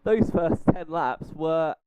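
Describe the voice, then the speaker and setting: A man acting as co-commentator, giving measured analysis of drivers' performances in a sim race. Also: a little high, lively intonation, mellow, clean tone, no room sound.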